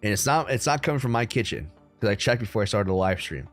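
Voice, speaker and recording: Laid-back young man with a lowish voice. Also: fast talker, soft background music. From United States. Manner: relaxed, rambling, frequent ums and likes. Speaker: crypto livestream host. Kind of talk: casual livestream chat answering viewers. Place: room at home.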